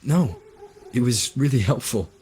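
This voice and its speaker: An actor playing a calm, reserved young male hero in a video game, with a terse, serious, understated delivery. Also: mid-range voice, unhurried, husky.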